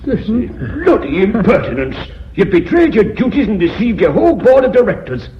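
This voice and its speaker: An outraged British boardroom blusterer in a radio drama. His high voice swoops wildly, on a muffled, noisy old recording. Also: very animated, fairly quick. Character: outraged, blustering company director.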